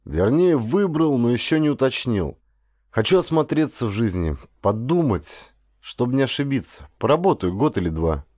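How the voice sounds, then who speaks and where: A man's voice: mid-range voice, sing-song, moderate pace, a little mellow, no echo, clean studio sound. Man acting an earnest young son, varied intonation. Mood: earnest, hesitant. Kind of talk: audiobook character dialogue.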